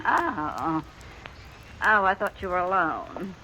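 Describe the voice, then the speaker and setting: An actress in a 1950s radio western playing a feisty, jealous small-town woman with a sharp, accusatory, folksy delivery. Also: fairly low pitch, big pitch swings, slow, full character voice. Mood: brisk. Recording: faintly noisy 1950s radio recording.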